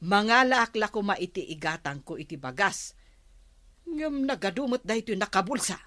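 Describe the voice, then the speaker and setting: A woman's voice: mid-range voice, animated, normal speed, fairly bright tone, natural voice. A woman playing a suspicious, nagging wife in a comedy radio drama. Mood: indignant.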